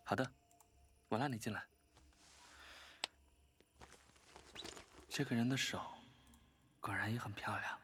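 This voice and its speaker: An actor playing a blunt young game player in an audio drama, measured and matter-of-fact. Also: male voice, fairly low pitch, noisy background, natural voice. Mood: guarded.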